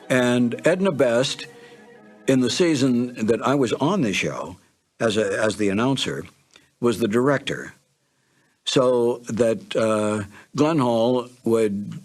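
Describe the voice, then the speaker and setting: An older American man, a former radio announcer, reminiscing in a storytelling manner about his working days. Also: on the low side.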